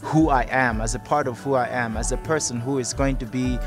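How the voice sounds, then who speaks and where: A man's voice: medium pitch, fairly even intonation, a little fast. A man in his twenties with a Malawian accent, giving an interview testimony outdoors. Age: 20s. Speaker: youth programme participant and rap artist. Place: outdoors in a campus courtyard. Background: guitar music.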